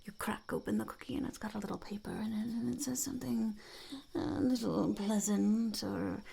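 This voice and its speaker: Woman putting on a creaky, whispering goblin lady. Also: medium pitch, fairly even intonation, very noisy. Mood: smug, welcoming. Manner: speaks of self in third person, slow, halting, conspiratorial. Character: sly, blunt female goblin entrepreneur, smugly cynical. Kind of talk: ASMR roleplay, whispered and soft-spoken.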